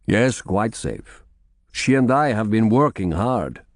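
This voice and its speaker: A man voicing a gentle, grave monk in an audiobook, with a measured manner. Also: lowish voice, sing-song, no echo, studio quality, exaggerated voice. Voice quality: lisping. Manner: slow, soft, measured. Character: gentle, grave foreign monk with dark face.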